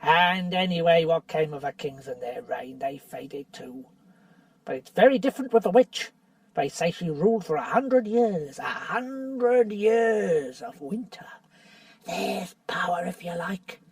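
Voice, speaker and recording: A storybook reader voicing a bitter, quarrelsome male dwarf who argues hotly and shouts. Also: medium pitch, expressive, unhurried, dry recording, recorded from a distance. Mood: defiant. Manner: heated, defiant, shouting.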